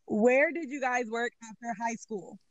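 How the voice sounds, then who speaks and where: A woman's voice: a little high, a little slow, no echo, lo-fi sound. A woman co-hosting a casual podcast, asking quiz questions in a teasing, giggly way. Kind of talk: casual chat.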